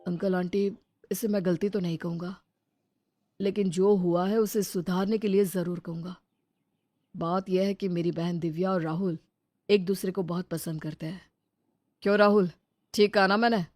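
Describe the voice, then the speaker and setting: A woman's voice: steady tone, moderate pace, long pauses, darkish tone, dry recording, natural voice. A woman voicing a young adult elder brother in a storybook drama, speaking firmly and earnestly. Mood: calm. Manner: firm, earnest, mostly low-key. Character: young adult male elder brother, protective and level-headed. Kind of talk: dramatized Hindi storybook dialogue.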